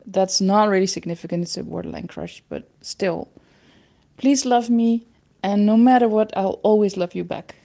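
Dutch-accented caller with a mellow voice. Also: female voice, medium pitch, moderate pace, vocal fry. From Netherlands. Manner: anxious, self-deprecating rambling. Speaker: caller leaving a voice message.

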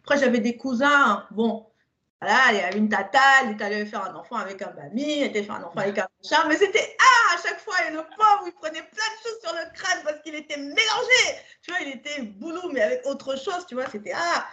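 A woman's voice: sits high, fast talker. A woman from Cameroon who moved to France, talking casually and candidly, repeating words for emphasis. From born and grew up in Cameroon, later moved to France. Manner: animated storytelling, repeats words for emphasis, tag words. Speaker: podcast host in conversation. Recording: slightly dull lo-fi sound.

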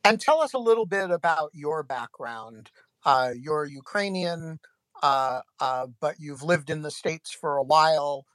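A man hosting a podcast interview, talking haltingly with many restarts. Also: fairly high pitch, lively intonation, fairly bright tone, dry recording. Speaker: podcast host.

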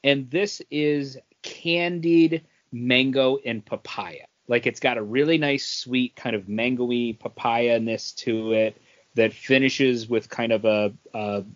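A man's voice: mid-range voice, steady tone, takes it slowly. A man chatting casually as a co-host on a podcast. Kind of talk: casual chat, describing drinks and comics. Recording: dull, lo-fi audio.

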